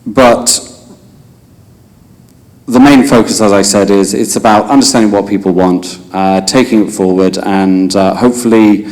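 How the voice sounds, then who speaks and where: A man from England giving a loose, halting candidate speech at a business group election, with a self-deprecating touch. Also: fairly low pitch, steady tone, a little mellow. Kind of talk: loosely improvised hustings speech. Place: hotel function room.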